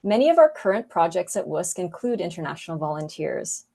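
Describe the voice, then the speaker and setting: Brief: woman presenter, lower voice, reads a polished organizational overview on a call. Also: very animated, a little fast, creaky, warm tone. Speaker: volunteer program manager. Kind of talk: scripted presentation.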